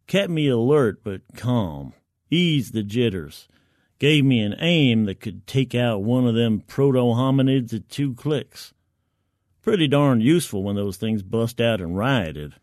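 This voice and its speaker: A man's voice: medium pitch, expressive, measured pace, darkish tone, no echo, studio quality. A man narrating first-person science fiction in the colloquial, gritty, wry voice of a soldier.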